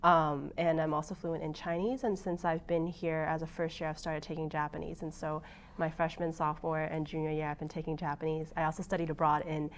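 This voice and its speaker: A young woman whose first language is Cantonese answers interview questions as a college senior. Her voice is low and flat, with little rise and fall. She lists facts in a matter-of-fact way. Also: brisk, a little hiss or hum. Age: young adult.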